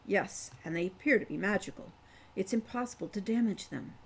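A woman's voice: mid-range voice, expressive, brisk, husky, poor audio. A woman voicing a serious, patient older brother in an audiobook, giving restrained, businesslike instructions. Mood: calm.

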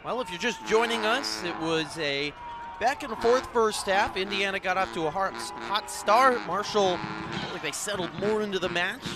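High-pitched young man calling soccer. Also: animated, moderate pace, music in the background. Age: young adult. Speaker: play-by-play announcer. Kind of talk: live TV soccer play-by-play.

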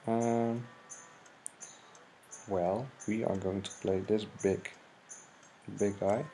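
A young man from the Netherlands with a Dutch accent, hesitantly commenting on his own card game match in halting English. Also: on the low side, level tone, mellow. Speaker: gaming channel host. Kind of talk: gameplay commentary. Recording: noisy home mic recording.

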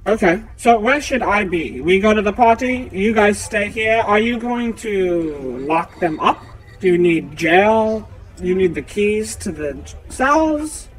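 A man's voice: sits high, animated, takes it slowly, pitched above the performer's own voice, low-quality recording. A man voicing a hesitant, trusting town official in a tabletop game. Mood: puzzled.

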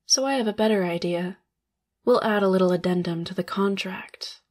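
A woman's voice: mid-range voice, normal speed, darkish tone, close to an everyday voice. A woman playing a cold, calculating spider-woman law boss in an ASMR roleplay, sardonic and condescending. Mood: cold, scheming. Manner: sardonic, condescending, drawling with smug chuckles.

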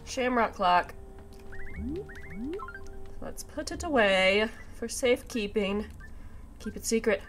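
A woman's voice: fairly high pitch, animated, takes it slowly, pauses often, fairly bright tone. A woman hosting a casual let's play, chatting to herself in a slightly nasal, congested voice with a sinus infection. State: congested with a sinus infection, recovering from a cough and sore throat. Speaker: gaming video host. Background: video game music.

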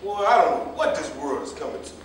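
A man's voice: a little high, expressive, quick pace, close to an everyday voice. A man playing a chatty, indignant, meddlesome cab driver who gossips at length in a staged play reading. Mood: gossipy, indignant. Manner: chatty, indignant, long-winded storytelling. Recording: echoey stage, distant mic, faint noise.

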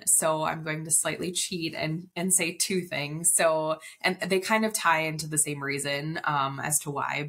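A woman's voice: low-pitched, a bit bright. A woman. She explains things in a chatty, conversational way, as a guest on a casual interview podcast. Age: 30s. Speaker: interview guest, wedding planner. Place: indoor room on video call.

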